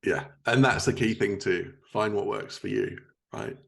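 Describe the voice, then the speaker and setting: A man's voice: fairly low pitch, big pitch swings, a little slow, gravelly, fairly bright tone. A man hosting a casual interview, informal and teasing, happy to swear while chatting with his guest. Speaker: podcast host interviewing a guest.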